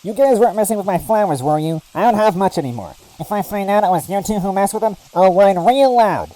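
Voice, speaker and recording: A man's voice: high-pitched, expressive, fairly quick, mellow, no room sound, voice pushed up, low-quality recording. A man voicing a timid, jittery male Sniffet doorkeeper with a nasal, whiny way of talking, in a storybook reading. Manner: anxious, whiny. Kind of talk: storybook voice acting.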